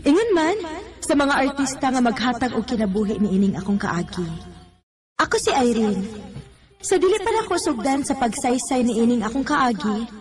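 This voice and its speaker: A woman's voice: a little high, animated, normal speed, lightly acted. A woman with a Cebuano accent playing a tender, devoted young woman in love in a radio drama. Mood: wistful. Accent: Cebuano Filipino. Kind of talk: Bisaya radio drama acting.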